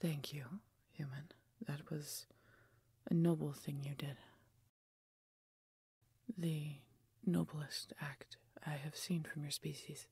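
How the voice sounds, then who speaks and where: A woman voicing a proud, haughty wounded female dragon in a fantasy audio roleplay, with breathy, husky, imperious delivery. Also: low-pitched, level tone, warm tone, dry recording, studio quality, exaggerated voice. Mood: softened, grateful. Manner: imperious, theatrical, deliberate phrasing.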